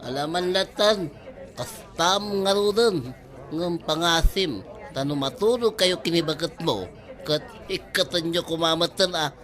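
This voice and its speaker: A man's voice: on the high side, unhurried, fairly bright tone. A man playing a jovial buddy who ribs his friends in a comedy drama, teasing and familiar. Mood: amused teasing. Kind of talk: Ilocano comedy drama acting.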